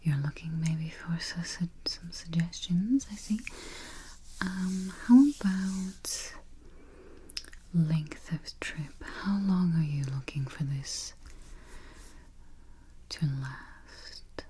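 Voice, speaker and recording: A woman whispering softly and calmly in an ASMR roleplay as a vampire travel agent, close to the microphone. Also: lowish voice, slightly rough. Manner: soft whispering, unhurried and reassuring. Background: keyboard typing and taps.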